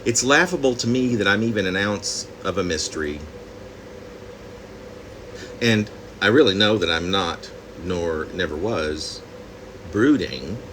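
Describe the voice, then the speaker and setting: Low-voiced man reading poetry over background hum. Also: expressive, a little slow, long pauses. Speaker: poet reading own work.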